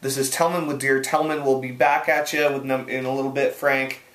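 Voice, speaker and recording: A man's voice: medium pitch, level tone, a little fast, fairly bright tone. A man talking casually straight to the camera, rambling and chatty, often restarting his sentences. His voice sits in the middle range, and the recording has a roomy echo. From United States. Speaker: host answering viewer questions. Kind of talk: casual video advice.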